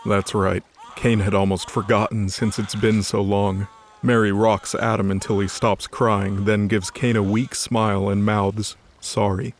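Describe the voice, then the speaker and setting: A man's voice: on the low side, flat delivery, unhurried, slight background noise. A man narrating a scripted story in a conversational, wry way.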